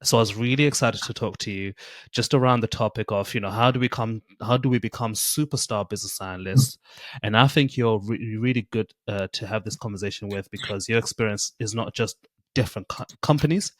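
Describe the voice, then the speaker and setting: A man hosting an interview, asking questions encouragingly and building them up slowly. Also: fairly low pitch, no echo. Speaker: podcast host. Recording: dry close sound.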